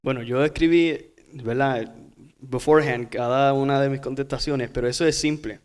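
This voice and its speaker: A man's voice: medium pitch, steady tone, moderate pace, clear, resonant tone. A man with a Puerto Rican accent explaining things casually as a podcast guest. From Puerto Rico. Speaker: podcast guest, pastor's son.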